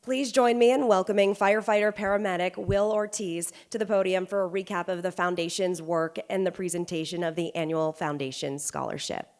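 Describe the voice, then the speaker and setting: A woman with a lowish voice reads scripted introductions at an awards ceremony. She speaks into a podium microphone in a formal, smooth, polished manner. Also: steady tone, fairly quick, few pauses. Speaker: ceremony emcee. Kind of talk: scripted announcement.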